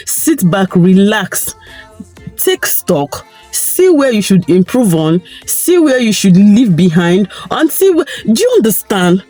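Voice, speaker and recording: Croaky-voiced Nigerian woman vlogging. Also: medium pitch, darkish tone. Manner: chatty and opinionated, rising to emphatic, rhetorical questions. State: croaky, tired voice. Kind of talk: casual opinion vlog.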